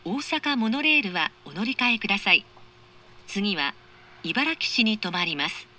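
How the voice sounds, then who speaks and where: A woman making formal, measured, carefully articulated scripted train announcements over an onboard speaker. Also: mid-range voice, sing-song, bright, forceful tone. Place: recorded inside a train carriage.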